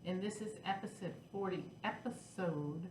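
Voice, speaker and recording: A woman's voice: lowish voice, moderate pace. Congested middle-aged woman with a head cold. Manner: chatty, with a frustrated rant. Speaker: flosstube host. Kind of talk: casual vlog chat. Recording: echoey room with faint noise.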